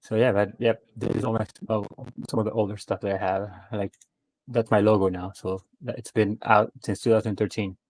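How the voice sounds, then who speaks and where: A man chatting casually as a guest artist, relaxed and quick to laugh as he talks. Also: lowish voice, rough recording. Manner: relaxed, laughs easily, quick back-channels. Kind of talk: casual chat on a stream.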